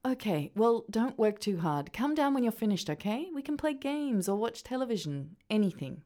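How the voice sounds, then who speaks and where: An Australian actress playing an anxious, caring mother in a radio drama, gentle and pleading. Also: fairly high pitch, very animated, normal speed, slight background noise. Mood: worried, caring. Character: anxious, caring suburban mother and neglected wife.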